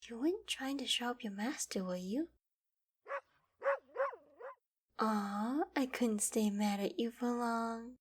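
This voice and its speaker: Woman acting a breathy, gentle young queen. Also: a little high, a little slow. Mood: flustered, tender. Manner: soft, breathy, dropping into whispered asides. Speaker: voice actor in audio roleplay. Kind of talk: scripted ASMR roleplay acting.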